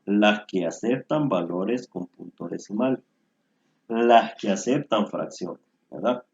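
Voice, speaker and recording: Guy tutoring stats over a call, low husky voice, kinda muffled lo-fi audio. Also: takes it slowly, a little mellow. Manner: explanatory, often tags "¿verdad?". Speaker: statistics tutor. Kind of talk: online tutoring lecture.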